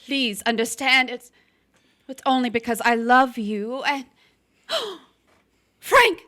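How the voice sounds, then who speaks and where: A restless young wife in a noir stage drama, hungry to be somebody. Her voice is high and bright, swinging widely as she pleads and schemes with intense emotion. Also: big pitch swings, slow, exaggerated voice. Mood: alarmed. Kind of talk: live radio-play style crime drama acting.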